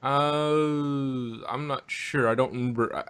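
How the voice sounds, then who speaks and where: A man chats casually as a podcast host. He speaks haltingly, often restarting and circling back to rephrase, in a voice of ordinary middle pitch. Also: steady tone, fairly bright tone, clear, resonant tone. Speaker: podcast host reviewing audio drama. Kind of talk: casual chat about sci-fi.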